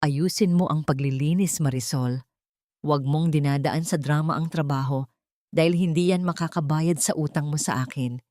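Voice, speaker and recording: A woman's voice: deep voice, moderate pace, dark tone, dry recording, clean studio sound, voice pushed down, natural voice. A woman voicing a cruel, money-minded older mother-in-law in a story, scornful and commanding. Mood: commanding, cold. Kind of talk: dramatic audio storytelling.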